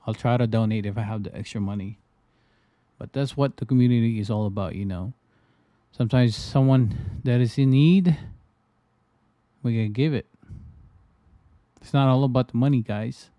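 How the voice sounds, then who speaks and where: A man's voice: mid-range voice, moderate pace, pauses often, warm tone, no room sound, highs cut off. A man from the Philippines casually chatting on his livestream, rambling with frequent restarts and fillers. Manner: loose, repetitive, lots of restarts and fillers. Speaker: trading livestreamer.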